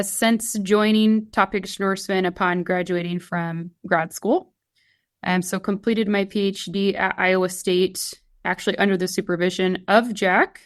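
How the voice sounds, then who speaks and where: A woman, explaining her field as an expert guest on a podcast. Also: medium pitch. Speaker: guest expert, swine geneticist. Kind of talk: explanatory podcast interview talk.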